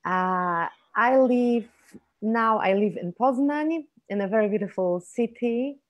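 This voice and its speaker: A woman with a Polish accent introducing herself informally, excited but halting. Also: fairly high pitch, no room sound, highs cut off. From Poland. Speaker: festival teacher.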